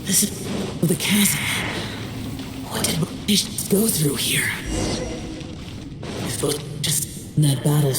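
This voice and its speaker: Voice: mid-range voice, animated, slow pace, long pauses, very noisy. An actor voicing a stoic, solitary male immortal warrior in a video game, grave and reserved. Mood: grave, guarded. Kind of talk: English video game voice acting. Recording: music behind the voice. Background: game music.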